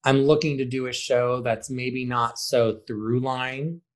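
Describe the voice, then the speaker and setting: A man who directs high school theater, answering interview questions casually and acting out bits of imagined dialogue in an animated way. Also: unhurried.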